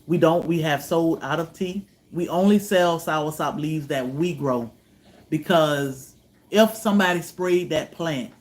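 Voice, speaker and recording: A man from Virginia, hosting a homestead livestream, chatting with viewers in a relaxed way, often letting his voice trail off softly. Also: fairly high pitch, measured pace. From Virginia, USA. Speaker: homestead channel host. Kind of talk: livestream chat answering viewers.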